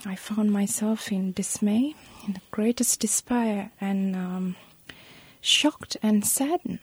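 A Sri woman in her thirties, a guest telling a personal account in an interview, speaking softly and haltingly, with many pauses. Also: mid-range voice. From Sri Lanka. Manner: soft, halting, breathy delivery with many pauses.

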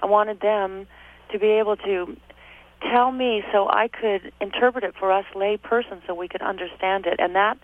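A woman in her sixties, a guest author telling stories in a chatty, animated way during an interview. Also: medium pitch, moderate pace. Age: 60s. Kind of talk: interview over the phone. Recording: tinny phone line.

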